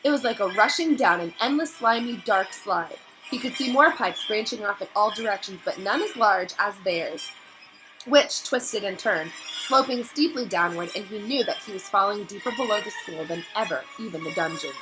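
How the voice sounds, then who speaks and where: A woman narrating a novel aloud in an expressive, dramatic storytelling style that builds suspense. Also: medium pitch, normal speed, music in the background, low-quality recording.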